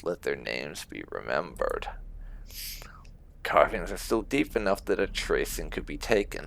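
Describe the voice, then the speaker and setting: A man's voice: low-pitched, gravelly, fairly bright tone. A man hosting a video game playthrough, musing aloud in casual commentary. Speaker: gaming video host.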